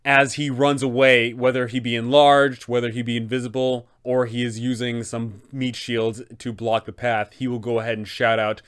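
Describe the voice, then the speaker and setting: A man's voice: mid-range voice, level tone, normal speed, hardly any pauses, fairly bright tone, clear, resonant tone. A young adult man hosting an explanatory tabletop game video, speaking in an enthusiastic, emphatic way. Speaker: host, game master advisor. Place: small room indoors, seated.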